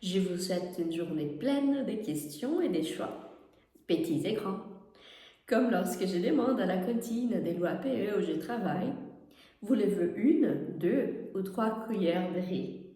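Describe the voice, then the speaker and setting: Woman, Portuguese native, calm guiding read, slow and mellow, very echoey room. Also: medium pitch. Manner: gentle, guiding, with soft laughs. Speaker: yoga teacher and wellness columnist. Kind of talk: reading her own column aloud. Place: her home.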